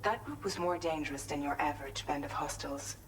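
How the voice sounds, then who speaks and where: A woman playing a serious, caring female ally in a video game, giving measured advice with concern. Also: deep voice, distant mic, slight background noise, natural voice. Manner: measured, advising.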